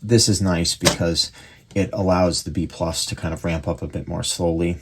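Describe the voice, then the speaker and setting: A man giving a casual hands-on repair demonstration, speaking haltingly as he thinks aloud while he works. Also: deep voice. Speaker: radio repair hobbyist and presenter. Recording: steady background noise with clicks.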